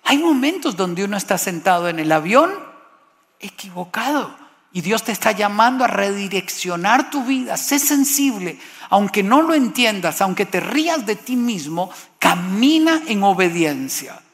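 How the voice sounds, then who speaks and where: A man's voice: sits high, big pitch swings, normal speed, fairly bright tone, long reverb tail. A man from Costa Rica preaching a sermon, impassioned and building to exclamations.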